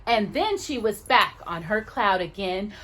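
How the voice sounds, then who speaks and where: A woman's voice: medium pitch, big pitch swings, unhurried, fairly bright tone, slight background noise. A woman reading a children's picture book aloud expressively, with casual asides to the listener.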